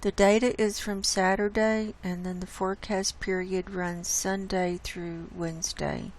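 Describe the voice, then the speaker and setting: Hoarse, slow-talking woman. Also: medium pitch, little pitch movement. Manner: unhurried, explains data on screen. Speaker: channel host presenting climate data. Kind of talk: casual screen-share presentation.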